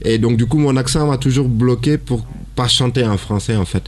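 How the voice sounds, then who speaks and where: Young male musician guest, from Senegal, halting speech full of fillers, mid-pitched, radio studio interview. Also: darkish tone. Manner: hesitant, halting with many fillers.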